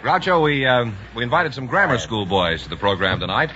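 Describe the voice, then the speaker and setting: A man's voice: medium pitch, animated, brisk, heavy background noise. A man announcing a mid-century radio quiz show as the host's sidekick. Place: broadcast studio with audience. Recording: dull vintage radio broadcast, loud music.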